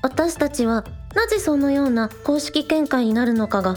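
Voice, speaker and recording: A woman's voice: high-pitched, normal speed, faint music behind, natural voice. A woman voicing a spirited, earnest young shrine maiden and village idol in a radio drama.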